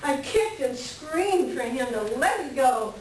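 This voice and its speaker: Echoey distant recording, actress as wistful married woman, higher-pitched, reflective, melancholy, stage play reading. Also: expressive, measured pace. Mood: wistful reminiscence. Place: library reading before a microphone at some distance. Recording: very echoey room, distant mic, faint noise.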